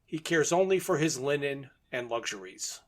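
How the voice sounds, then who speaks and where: A man's voice: mid-range voice, animated, moderate pace. A man co-hosting a podcast, recapping and commenting on a story in an informal, orderly way. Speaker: podcast co-host. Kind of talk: plot recap in casual podcast talk.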